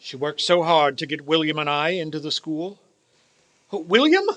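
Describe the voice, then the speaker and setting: A man's voice: on the high side, lively intonation, normal speed, fairly bright tone. A man playing a cranky, fearful old miser in a theatrical, muttering radio play style. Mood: wistful, amazed. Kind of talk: stage radio play acting.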